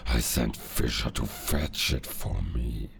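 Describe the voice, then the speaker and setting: Werewolf villain: bottomless gravel growl, drawn-out predatory delivery, cold and taunting, audio drama. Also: male voice, rumbling low, slight background noise, heavily acted. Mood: taunting menace. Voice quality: gravelly, growling, breathy at times. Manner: slow, deliberate, taunting menace. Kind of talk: cinematic audio roleplay acting.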